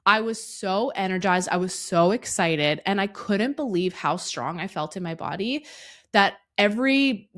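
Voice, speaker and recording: A woman in her thirties hosting a solo podcast, her voice hoarse and raspy from a cough, talking earnestly and reflectively to the listener. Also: mid-range voice, animated, unhurried, fairly bright tone. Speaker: podcast host.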